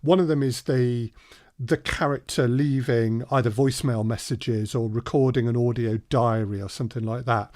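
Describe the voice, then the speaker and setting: A man leads an informal workshop in the voice of a working audio drama producer. His pitch is mid-range for a man and he explains in a halting, pause-filled way. The sound is dry, with hardly any room on it. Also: fairly even intonation, a little mellow, no room sound. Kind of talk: informal spoken workshop presentation.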